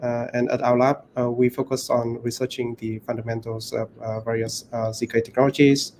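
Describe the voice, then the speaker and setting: A man representing a tech company, giving a prepared introduction in a halting way with pauses mid-phrase. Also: mid-range voice, little pitch movement, darkish tone, slight background noise.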